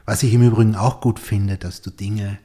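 Male podcast host, low and mellow, reflective, muses aloud and checks in with his guest. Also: fairly even intonation, moderate pace. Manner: thinks aloud, often ends with a questioning "oder?". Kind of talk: reflective conversational interview.

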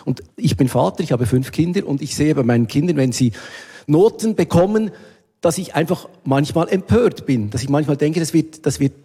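A man on a discussion panel speaks with a husky, mellow voice. He is animated, breaking off and restarting his sentences. Long hall reverb trails his words. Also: a little high, normal speed. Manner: animated, restarts when agitated. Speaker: panel participant, father.

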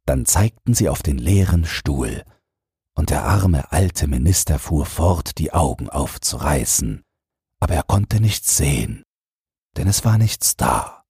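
A man's voice: rumbling low, expressive, a little slow, darkish tone, breathy edge, no echo, studio quality. A man narrating a fairy tale as a bedtime audiobook, reading a scripted text as a storyteller.

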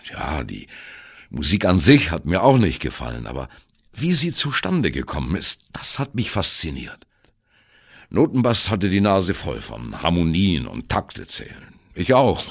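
An actor playing a male jazz musician who wryly and candidly recalls his youth in a radio play monologue, in a gravelly voice. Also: low voice, wildly swooping pitch, unhurried, heavily acted. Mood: wry reminiscing. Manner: wry, candid, self-deprecating. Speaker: narrator of an audio drama. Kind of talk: first-person radio play monologue, storytelling.

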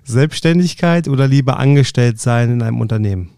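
A man co-hosting a podcast, asking quick questions in an easygoing, friendly way. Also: medium pitch, warm tone, smooth, no echo, clean studio sound. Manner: easygoing, laughs along. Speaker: podcast co-host. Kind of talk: casual podcast conversation.

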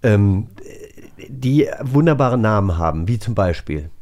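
A man's voice: fairly low pitch, lively intonation, unhurried, darkish tone. A man hosting a casual podcast, chatting in an enthusiastic way. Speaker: podcast host. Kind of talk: casual talk show chat.

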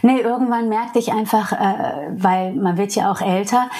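Brief: New York-born woman, English native, talking in German, husky tone, candid and reflective, quick to laugh. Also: mid-range voice, fairly even intonation, dark tone. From New York, USA. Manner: frank, reflective, laughs easily. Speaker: interview guest. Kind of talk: candid personal interview.

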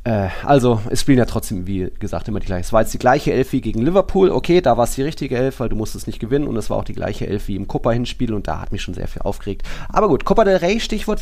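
A man's voice: medium pitch, creaky, darkish tone. A man hosting a football podcast, giving opinionated, colloquial commentary in a casual style.

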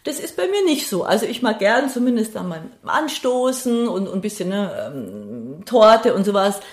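A woman's voice: medium pitch, sing-song, normal speed. A middle-aged woman, talking casually and reflectively as an interview guest, hesitant as she thinks aloud. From Passau, Bavaria, Germany. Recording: roomy, echoey sound.

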